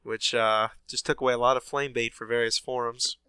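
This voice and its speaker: A man's voice: mid-range voice, flat delivery, brisk, pushed and bright, clean tone, no room sound. A man co-hosting a gaming podcast, reading news in a chatty way with many fillers, sounding stuffy from a sinus infection. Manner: chatty, many ums and uhs. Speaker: podcast co-host. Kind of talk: casual chat, reading news.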